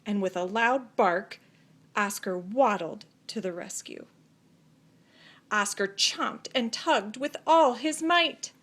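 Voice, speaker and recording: A woman narrating a children's story expressively, with deliberate phrasing and dramatic pauses. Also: medium pitch, expressive, fairly bright tone. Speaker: storybook reader.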